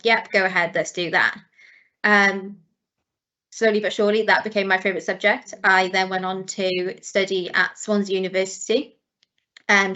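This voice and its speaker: A woman with a Welsh accent, Welsh her first language, talks students through her career in a bright, clear voice that stays on a fairly even pitch. She sounds friendly and encouraging, often pausing on an "um". The audio is a slightly dull video-call recording. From South Wales. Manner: friendly, encouraging, with frequent ums. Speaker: guest speaker, chartered accountant. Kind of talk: presentation at an online careers event.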